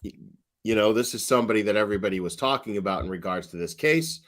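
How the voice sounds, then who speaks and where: A man's voice: lowish voice, fast, fairly bright tone. A man giving casual, sweary reaction commentary in a blunt, mocking, sardonic manner. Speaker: commentator reacting to online true-crime streamers.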